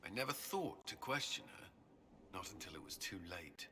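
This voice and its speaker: A haunted templar knight weighed down by guilt, his low voice bright and forceful. He speaks in measured, solemn tones over a faint hiss of game ambience. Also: lively intonation. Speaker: game character.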